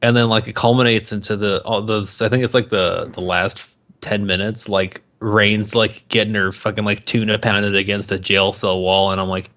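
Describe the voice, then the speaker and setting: A man's voice: lowish voice, level tone, normal speed, fairly bright tone. A man co-hosting a podcast in casual, opinionated banter, swearing freely and talking in sweeping exaggerations. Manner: profane, hyperbolic. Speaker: podcast co-host.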